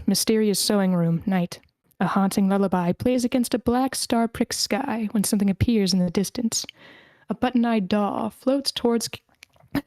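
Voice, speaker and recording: A woman hosts an informal script reading in a casual, self-interrupting way. Her pitch is average and the sound is dry and close, as on an online meeting mic. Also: darkish tone, no room sound. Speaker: table read host and narrator. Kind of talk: informal chat and amateur screenplay reading.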